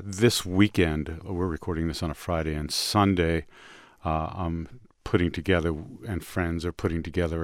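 A middle-aged or older man hosting a music show, telling personal stories in a casual, reflective, halting way. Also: deep voice, clear, resonant tone, no room sound, studio quality.

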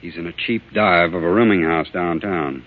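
An actor playing a stern, insistent family doctor in a 1940s radio drama, speaking firmly and deliberately. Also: male voice, low-pitched. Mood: measured, knowing. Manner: firm, deliberate, commanding. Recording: tinny, narrow-band old radio recording.

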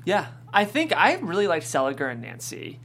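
A woman leads a relaxed, informal panel discussion as host. A faint hum of noise sits behind her voice. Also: lowish voice, normal speed.

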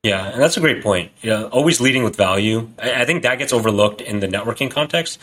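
A man hosting a podcast interview, asking questions in a brisk, reassuring manner. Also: mid-range voice, animated, fairly bright tone. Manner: brisk, reassuring, quick to laugh. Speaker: podcast host.

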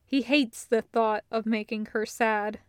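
A woman reading a story as scripted narration, with measured, deliberate phrasing. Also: a little high, steady tone, clean tone, no echo, clean studio sound. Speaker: audiobook-style fiction reader.

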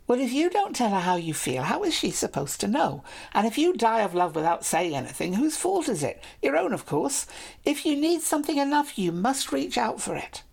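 A woman's voice: fairly high pitch, big pitch swings, normal speed, creaky, a little mellow, husky, voice pushed up, full character voice. Woman voicing a clever noble lady. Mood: warm, persuasive. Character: young noble lady, proud, clever, teasing.

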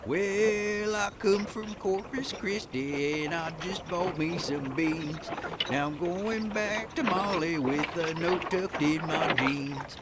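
A man's voice: on the high side, slow pace, heavy background noise. A man playing a good-natured, folksy cowhand with a Texan drawl in an old-time radio western. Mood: cheerful musing. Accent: Southern US, Texan. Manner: drawling, folksy, talks to his horse.

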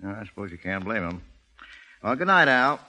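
Casting: hard-driving police detective, old radio drama style, lively swooping pitch, clipped commands. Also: male voice, normal speed, heavily acted, rough recording. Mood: casual, wary. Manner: forceful, clipped orders. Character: tough, brisk police detective inside the rackets. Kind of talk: 1930s radio acting. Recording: old 1930s radio broadcast.

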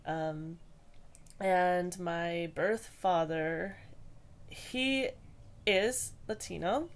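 A young woman from Hawaii, a podcast guest answering interview questions in a hesitant, thoughtful way with many pauses and restarts. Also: fairly low pitch.

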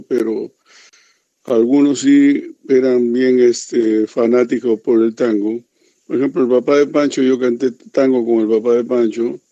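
A man in his seventies with a Peruvian Spanish accent, a rock singer telling stories from his youth in an unhurried, reflective interview. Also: mid-range voice, dark tone, no echo, dull audio. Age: 70s. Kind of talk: reminiscing interview.

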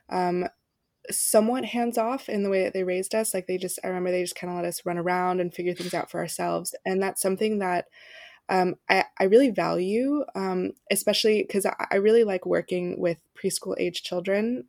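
Young woman with a gravelly voice. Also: on the low side, a little mellow, dry recording, clean studio sound. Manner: hesitant, many ums and restarts. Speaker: podcast co-host. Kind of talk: casual podcast conversation.

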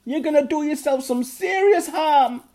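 A loud goblin mother, as a man puts her on in a storybook. She scolds in high, shrill shouts, far above a man's speaking voice. The voice sounds forced and strained. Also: moderate pace. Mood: scolding.

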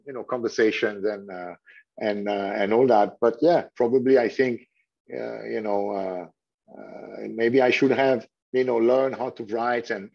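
A man's voice: medium pitch, creaky. A middle-aged man from Switzerland whose first language is French, answering interview questions with many fillers and restarts. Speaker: hotel general manager. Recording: dull lo-fi sound.

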